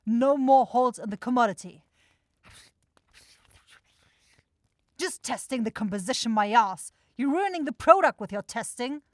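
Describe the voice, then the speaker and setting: A woman's voice: on the high side, expressive, brisk, no room sound, clean studio sound, lightly acted. A woman playing a bossy, grumbling demon shopkeeper with a brusque, sarcastic manner in a fantasy audio roleplay. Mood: grumpy, scolding.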